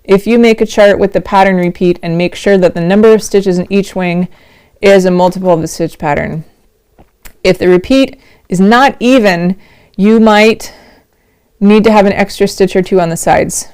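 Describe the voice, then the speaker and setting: A middle-aged woman, a little hoarse, hosting a casual livestream from home, chatty and rambling with frequent laughs. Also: mid-range voice, fairly even intonation, moderate pace, a little mellow. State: slightly hoarse. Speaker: host of a knitting livestream.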